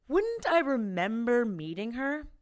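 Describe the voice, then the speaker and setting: A woman's voice: a little high, very animated, measured pace. Blunt, sarcastic female ex-cop. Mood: skeptical. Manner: blunt, sardonic. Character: tough, sarcastic ex-cop woman, blunt and exasperated. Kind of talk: naturalistic audio drama acting.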